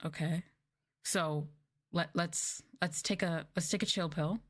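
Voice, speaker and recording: Low-pitched woman telling stories casually. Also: fairly even intonation, a little slow, a bit bright. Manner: informal, slangy phrasing. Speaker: livestreamer and former English teacher. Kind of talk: casual livestream storytelling.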